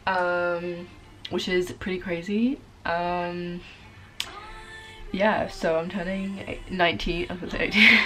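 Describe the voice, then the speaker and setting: A young woman in her late teens talks straight to a handheld camera in a small bedroom, rambling loosely with plenty of ums and likes. Her voice sits a little low for a woman, and soft music plays faintly behind her. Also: steady tone, takes it slowly. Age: young adult. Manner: chatty, rambling with many fillers. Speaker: vlogger. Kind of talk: casual vlog chat. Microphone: camera at arm's length.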